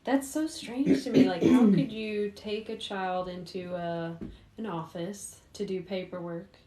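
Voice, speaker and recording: A woman with a middle-range voice hosts a casual livestream. She talks informally and directly to her viewers, taking questions in a chatty way. The room adds a clear echo. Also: medium pitch, unhurried, warm tone. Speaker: host, private investigator. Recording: roomy echo.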